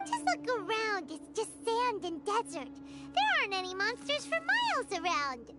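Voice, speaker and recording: A woman voicing a tiny, excitable female fairy-like companion who refers to herself in the third person, in a video game. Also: extremely high pitch, moderate pace, over loud music, exaggerated voice. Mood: chirpy. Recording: loud game background noise.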